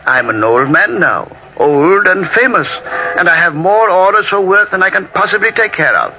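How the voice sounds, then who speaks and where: A man's voice: high voice, expressive, normal speed, sharp tone, full character voice. A man playing a genial, fussy old Italian painter with an effusive, chuckling manner in an old-time radio drama. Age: older. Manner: effusive, chuckling, sighing exclamations. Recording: dull, noisy vintage AM radio recording.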